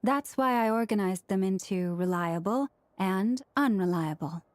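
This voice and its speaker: A woman's voice: medium pitch, measured pace, no room sound, natural voice. A woman playing a composed, earnest, scholarly priestess in a video game, speaking formally and precisely. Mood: earnest, measured. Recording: music behind the voice. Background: background music.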